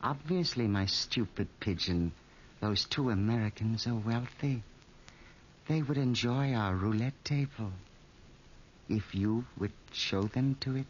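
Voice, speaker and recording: An actor playing a scornful, scheming crime boss in a 1940s radio drama, condescending and threatening. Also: male voice, medium pitch, a little slow, close to an everyday voice. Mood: scornful menace. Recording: muffled old radio recording, faint noise.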